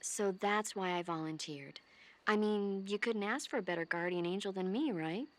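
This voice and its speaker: An actress playing a caring female military medic in a video game, earnest, playful and gently scolding. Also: mid-range voice, moderate pace, close to an everyday voice. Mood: earnest devotion.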